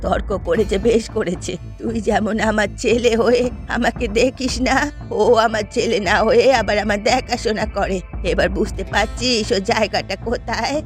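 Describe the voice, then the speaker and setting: A woman's voice: medium pitch, normal speed, natural voice. A woman voicing an affectionate but aggrieved older village mother in a cartoon fairy tale. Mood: bitter, reproachful. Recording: music under the voice.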